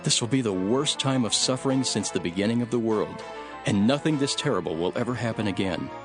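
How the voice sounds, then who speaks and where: A man reading scripture as a solemn, dramatic narration, measured and earnest, with deliberate phrasing. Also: on the low side, animated. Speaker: Bible narrator. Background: background music.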